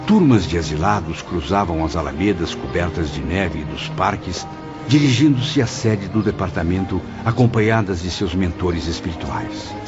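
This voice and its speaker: A man's voice: sits low, lively intonation, moderate pace. A man playing an earnest, respectful spirit pupil in a dramatised audiobook, speaking in a formal, literary way. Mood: reflective. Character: earnest male spirit pupil recounting his memoirs, respectful. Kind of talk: dramatised audiobook narration and dialogue. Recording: muffled audio with music behind.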